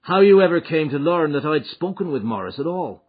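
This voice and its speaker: A storyteller plays a young gangster, bold and defiant. The voice jumps up and swings a lot, with a husky edge. He sounds cocky and hearty, as in an audiobook crime tale, on a slightly dull recording. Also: fairly high pitch, sing-song, voice pushed up. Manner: cocky, quick to answer back. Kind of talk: audiobook character acting.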